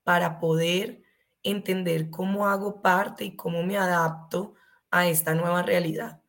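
Female consultant interviewee, Colombian accent, lowish pitch, emphatic conversational delivery. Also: fairly even intonation, a little slow. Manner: emphatic, illustrates with rhetorical questions. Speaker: guest expert, HR consultant.

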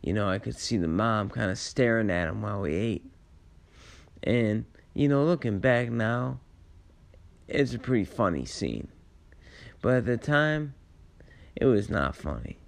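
A man with a low, raspy voice full of gravelly creak, sounding tired at the end of a long day. He rambles through personal memories at a very unhurried pace. His phrasing is halting and reflective, with laid-back slang. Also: expressive. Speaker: storyteller sharing personal memories. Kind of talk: casual rambling personal storytelling.

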